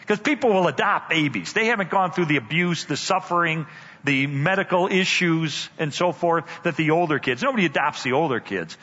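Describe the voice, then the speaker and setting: An older man, a guest speaker, telling a story in a sermon with dramatic pauses and emphasis. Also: a little high, animated, pushed and bright. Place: church sanctuary. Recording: dull church hall reverb.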